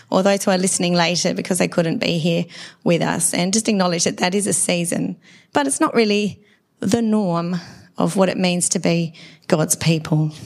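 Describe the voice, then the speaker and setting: A middle-aged woman preaches at a church service, her dry voice still hoarse from laryngitis. She talks conversationally and keeps turning questions to the congregation. Her pitch sits in the middle range. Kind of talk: sermon.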